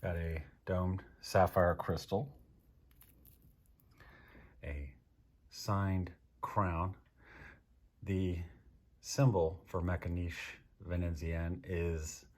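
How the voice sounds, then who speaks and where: An American man from Maryland with a husky voice, casually reviewing products as host in a dry, unhurried manner. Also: sits low. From Maryland, United States. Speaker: host of a watch review show.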